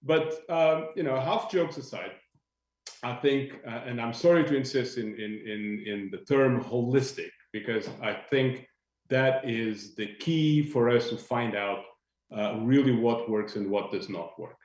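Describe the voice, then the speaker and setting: A man's voice: mid-range voice, measured pace, darkish tone. A man from Portugal, speaking as a panelist in a video-call discussion, thoughtful and searching for words with a light joking touch. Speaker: industry panelist. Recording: echoey room.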